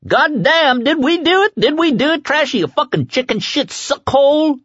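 A young hothead in an audiobook, his pitch thrown far up and swinging wildly. He swears, brags and bullies with explosive temper. The sound is dry and close. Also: sing-song, normal speed, fairly bright tone, husky, dry recording, dull audio, exaggerated voice. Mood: wild triumph. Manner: screaming, cursing, boastful and patronizing. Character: manic, foul-mouthed young man, swaggering, explosively bad-tempered bully.

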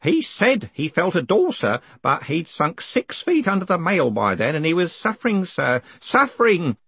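Fussy, high-pitched old man. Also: very animated, moderate pace, creaky, a bit bright, breathy edge, dry recording, heavily acted. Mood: earnest. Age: older. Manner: deferential, earnest, insistent, rambling. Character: fussy, wheezy old postal worker, deferential yet stubborn. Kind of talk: audiobook character acting.

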